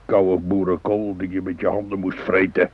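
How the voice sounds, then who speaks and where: An actor playing a blunt, cynical middle-aged husband in a naturalistic radio drama, gruff, sarcastic and complaining, with a husky voice. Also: male voice, deep voice, level tone, moderate pace. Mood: sarcastic, grumbling. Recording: phone-like, narrow radio recording.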